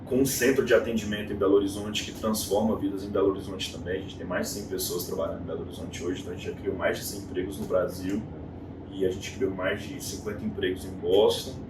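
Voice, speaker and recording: A Brazilian man in his thirties tells his story as an interview guest, acting out voices in quotes. He sits back from the mic in a reverberant room. A steady noise runs underneath. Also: sits low, fast. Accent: Brazilian Portuguese. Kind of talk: casual interview chat. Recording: echoey room, distant mic, noisy.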